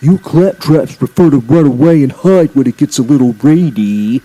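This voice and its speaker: A man's voice: medium pitch, moderate pace, mellow, voice pushed up, close to an everyday voice, low-quality recording. A man voicing a huge, boastful male diesel engine in a children's story, rude, smug and sneering. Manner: pompous, sneering.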